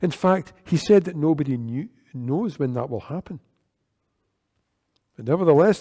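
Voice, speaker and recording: A man, preaching an earnest, measured sermon at a church lectern microphone. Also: a little high, mellow. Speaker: worship leader. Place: church sanctuary. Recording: long church reverb.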